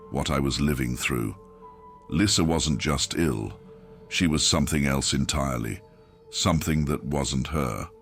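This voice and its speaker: A man's voice: extremely low pitch, vocal fry, a bit bright. A man narrating a grimdark horror audiobook in a grave, brooding, dramatic first-person manner.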